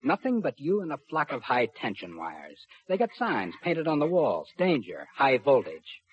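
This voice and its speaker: An actor playing a cruel, cocky kidnapper in a 1950s radio drama, with a rough voice and taunting tough-guy slang. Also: medium pitch, huge pitch swings, normal speed. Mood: menacing, mocking. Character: sneering, cruel kidnapper, cocky small-time crook turned big. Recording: muffled 1950s radio recording.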